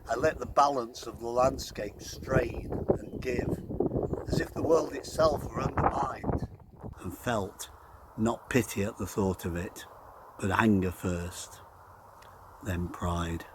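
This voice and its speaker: A man reciting poetry with deliberate, measured phrasing. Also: medium pitch, background noise. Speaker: poetry reader.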